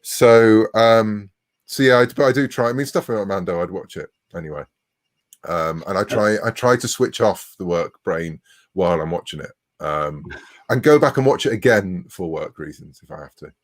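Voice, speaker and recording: Middle-aged male, deep voice, informal interview guest, talkative and self-interrupting. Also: lively intonation, normal speed. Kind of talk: casual conversational interview.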